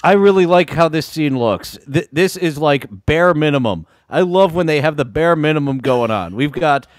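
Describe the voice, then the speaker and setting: Man with a slightly high voice, commenting casually. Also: expressive, moderate pace. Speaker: watch-along commentator.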